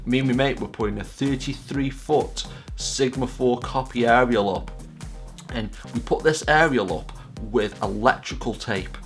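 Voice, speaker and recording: A man's voice: medium pitch, unhurried, faint music behind. A British man in his forties chatting casually in a rambling, self-deprecating way, like a vlog host reminiscing.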